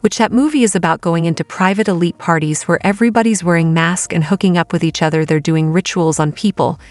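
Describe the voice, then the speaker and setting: A woman's voice: lowish voice, quick pace, darkish tone, no room sound. A woman giving casual celebrity gossip commentary as a host.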